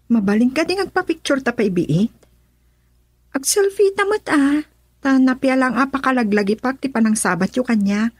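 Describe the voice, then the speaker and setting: A woman's voice: sits high, expressive, normal speed, no echo, clean studio sound. A woman acting a cheerful young woman in a radio drama, chatty and eager, with a Filipino accent. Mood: happy, grateful. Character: Miles, cheerful young woman returning home to the Philippines.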